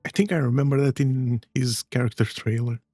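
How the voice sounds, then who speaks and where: A man giving casual, low-key commentary while playing a video game, with a slightly husky voice. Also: steady tone, brisk, warm tone, faint music behind. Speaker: gaming streamer.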